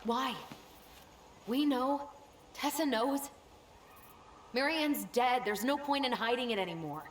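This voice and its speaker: A woman's voice: high voice, slow, roomy sound, a little hiss or hum. An actress playing an indignant, unforgiving young woman in a video game drama, confrontational and pointed. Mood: incredulous. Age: young adult.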